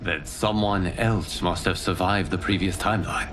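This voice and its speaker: A man playing a grave, benevolent protector god in a video game, speaking in a measured, formal, commanding way. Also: sits low, steady tone, lightly acted. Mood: grave, troubled. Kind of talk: solemn cinematic game dialogue. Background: cinematic music.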